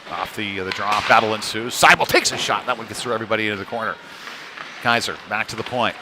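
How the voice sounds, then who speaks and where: A man's voice: fairly low pitch, quick pace. A man doing live ice hockey play-by-play commentary in a conversational, informal manner. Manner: conversational, informal, tag questions. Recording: clearly noisy venue ambience.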